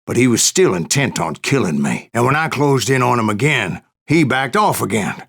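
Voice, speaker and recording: An actor playing an old American Wild West bounty hunter, gruff and weathered, spinning vengeful tales in an unhurried, folksy, salty way. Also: male voice, mid-range voice, sing-song, fairly bright tone, full character voice. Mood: grim reminiscing. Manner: unhurried, folksy, salty-tongued drawling storyteller. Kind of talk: first-person yarn-spinning narration for a Western game.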